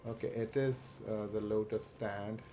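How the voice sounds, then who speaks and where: A man's voice: on the low side, fairly even intonation, moderate pace, warm tone. A man streaming a game, thinking aloud in a hesitant, muttering way as he plays. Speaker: live streamer. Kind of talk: casual gameplay commentary. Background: faint game music.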